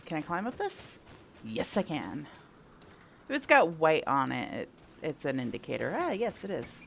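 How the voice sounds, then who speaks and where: A woman's voice: deep voice, sing-song, normal speed, vocal fry, a little hiss or hum. A woman doing casual playthrough commentary, thinking aloud and asking herself questions as she goes. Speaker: gameplay host.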